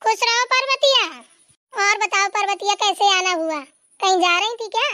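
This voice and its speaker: A performer voicing a busy, hospitable older village aunt in a Hindi cartoon, brisk and insistent. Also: very high-pitched, pushed and bright, dry recording, studio quality. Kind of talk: Hindi family cartoon dialogue.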